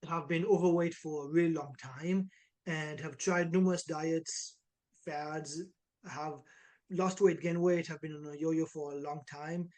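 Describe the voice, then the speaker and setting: A man in his twenties from Israel, an online fitness coach talking casually and energetically in an interview. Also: a little high. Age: 20s. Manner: energetic, uses many "like" and "right" tags.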